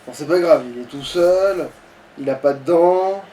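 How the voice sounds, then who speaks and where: A high-voiced man plays a game and chats casually with his co-commentator. He swears and jokes crudely. He sounds set back from the microphone, with faint noise around him. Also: a little slow, warm tone. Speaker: let's play gamer playing. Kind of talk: casual gaming chat with a co-commentator. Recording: distant mic, faint background noise.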